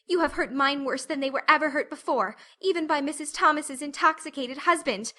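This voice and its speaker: A woman voicing a fiery, passionate red-haired orphan girl, shouting in choked fury. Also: sits high, fairly quick, pitched above the performer's own voice. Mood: indignant.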